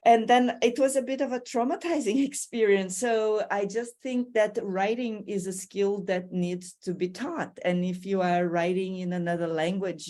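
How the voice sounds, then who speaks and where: Brazilian-accented woman, middle-aged, lowish voice, earnest guest on a podcast. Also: warm tone. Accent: Brazilian Portuguese. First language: Portuguese. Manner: earnest, reflective, laughs now and then. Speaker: guest, university writing lecturer. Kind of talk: podcast interview.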